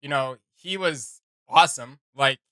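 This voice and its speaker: A man's voice: mid-range voice, a little slow, pushed and bright, clear, resonant tone, dry recording, studio quality. A young man co-hosting a reaction video, chatting casually and enthusiastically, with frequent fillers and laughs.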